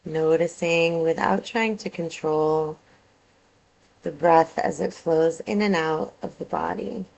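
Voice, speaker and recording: A woman teaching yoga nidra, guiding a meditation in a calm, soothing way with measured phrases and pauses. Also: sits low, vocal fry, dull audio. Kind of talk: guided meditation, soothing instruction.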